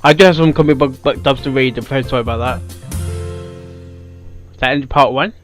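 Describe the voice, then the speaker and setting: A woman's voice: rumbling low, fast, faint music behind. A woman giving off-the-cuff reaction commentary as the host of a reaction video.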